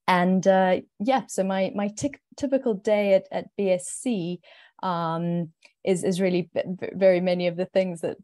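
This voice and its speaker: A woman with a South African accent speaks in a low, mellow, fairly flat voice. She talks casually and stumbles into repeated words as she explains her work. The sound is dry and close. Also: no room sound. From Zimbabwe, raised in South Africa. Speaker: investment manager, panelist. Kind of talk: casual panel talk.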